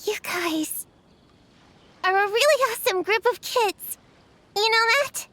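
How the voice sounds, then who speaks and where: Very high-pitched proud little girl. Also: measured pace, sharp tone, exaggerated voice. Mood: reluctant, embarrassed. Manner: earnest, defensive, reluctantly sweet. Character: proud, easily embarrassed young girl witch hunter. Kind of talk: scripted anime-style video game acting.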